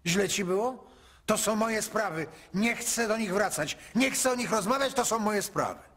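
A man's voice: sits high, moderate pace, fairly bright tone, husky, voice pushed up. A male actor voicing an angry, stubborn older mother in a radio drama, scolding and repeating herself. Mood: angry, defensive.

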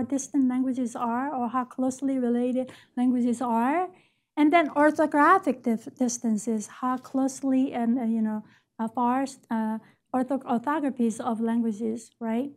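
High-voiced woman giving an academic lecture. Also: darkish tone. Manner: explanatory, frequent "right?" checks and self-corrections. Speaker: education professor. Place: lecture stage at a podium.

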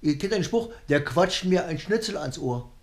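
Male comic, middle-aged, conversational and jokey, a little high in pitch, roomy home-recorded sound. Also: expressive, moderate pace, a little mellow. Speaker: comedian and cabaret artist. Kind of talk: comedy monologue to camera. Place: small rooms of a flat, indoors. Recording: echoey room.

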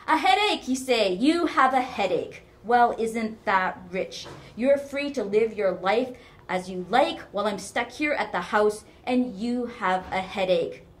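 A woman voicing an elderly, self-pitying mother who scolds and guilt-trips in a sharp, loud voice, as part of a story reading. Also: medium pitch, lively intonation, a little slow, pitched above the performer's own voice, close to an everyday voice. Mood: aggrieved self-pity. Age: older. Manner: scolding, guilt-tripping, practically shouting. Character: domineering, self-pitying, shrill elderly mother berating her daughter.

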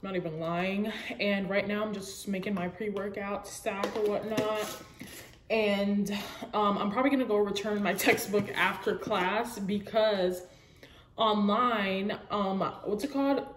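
A woman's voice: medium pitch, steady tone, unhurried, a little hiss or hum. A young woman, a college student, chatting casually to her camera with lots of "like" and "um" fillers. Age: young adult. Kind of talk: casual vlog chat to camera.

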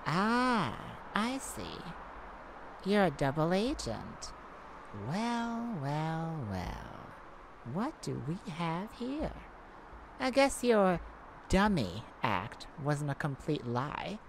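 A sassy female thief, acted by a woman in a flirty ASMR roleplay. Her voice sits fairly low and swings expressively as she teases with theatrical self-assurance. There is clear ambient noise behind her. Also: huge pitch swings, full character voice. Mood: flirty, smug. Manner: teasing, sassy, theatrical, drawn-out purring delivery.

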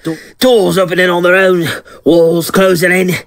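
An actor playing a volatile, menacing small-time thug and abusive boyfriend in an audio drama, with a rough, bullying, slangy way of talking. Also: male voice, sits high, little pitch movement, moderate pace, no echo, clean studio sound. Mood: menacing anger.

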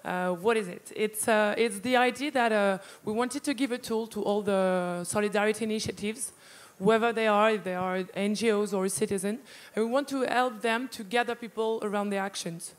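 Woman presenting a project, medium pitch. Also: steady tone, moderate pace. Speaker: panelist, startup cofounder. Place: festival stage indoors. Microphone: handheld microphone.

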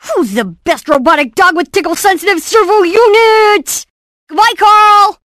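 A woman voicing a brainy, cocky, excitable boy inventor in cartoon game clips, with a theatrical, punchy delivery. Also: extremely high pitch, measured pace, dry recording, studio quality, exaggerated voice. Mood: cheerful. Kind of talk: animated game voice clips, short exclamations and catchphrases.

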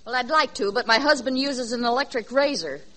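A woman's voice: a little high, brisk, natural voice. A woman playing an exasperated but patient, scolding mother in a 1940s radio comedy sketch. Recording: noisy 1940s radio recording, studio audience.

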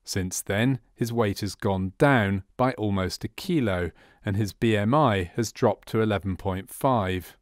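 A man's voice: on the low side, a little slow, hardly any pauses, dry recording, studio quality. A man reading scripted narration for a medical training film.

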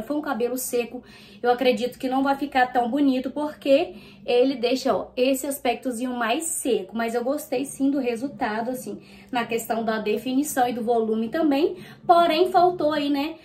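High-voiced chatty woman styling her hair. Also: darkish tone. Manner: chatty, addresses viewers as "gente", talks while styling her hair. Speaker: beauty vlogger. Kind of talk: casual hair tutorial and product review. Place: small bedroom, indoors.

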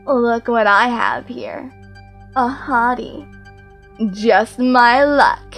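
Woman as slow, teasing anime villainess. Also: fairly high pitch, a bit bright, heavily acted. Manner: teasing, drawling, smug. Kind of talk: fan anime audio drama acting. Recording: music clearly behind the voice.

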